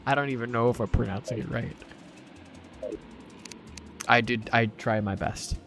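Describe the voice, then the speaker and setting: A man's voice: mid-range voice, fairly even intonation, normal speed, creaky, fairly bright tone. A young man from Puerto Rico chatting casually while streaming a game, rambling and reading chat aloud. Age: young adult. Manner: rambling, easily distracted, reads chat aloud. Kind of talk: casual gaming livestream chat. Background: game music.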